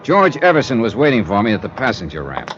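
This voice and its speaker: An actor playing a confident insurance investigator, breezy, wry and matter-of-fact, in 1950s radio drama style. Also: male voice, medium pitch, wildly swooping pitch, fast, noisy background. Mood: brisk, punchy. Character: brisk, confident male freelance insurance investigator. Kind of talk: 1950s radio drama acting and first-person narration. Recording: muffled old radio recording with music.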